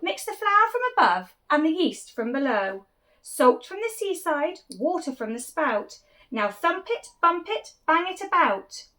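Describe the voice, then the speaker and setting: A woman voicing an excitable, bossy male village baker from a storybook, with emphatic, commanding delivery. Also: sits high, a little slow, voice pushed up, heavily acted.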